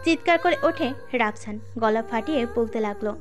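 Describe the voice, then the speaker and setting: A woman's voice: a little high, moderate pace. A woman reading a scripted dramatic audio story as its narrator. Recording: music under the voice. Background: music.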